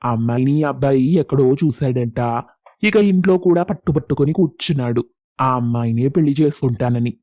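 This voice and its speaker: A man's voice: medium pitch, moderate pace, darkish tone, no echo, clean studio sound, natural voice. A man playing a polite, matter-of-fact village priest in a moral story, explaining things courteously. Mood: polite, informative. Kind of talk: Telugu moral story dialogue.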